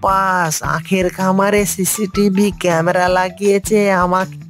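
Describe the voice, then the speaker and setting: A man's voice: sits high, level tone, measured pace, fairly bright tone, faint music behind. A man voicing a sly, cheeky fox thief in a cartoon, scheming and taunting. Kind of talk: cartoon voice acting.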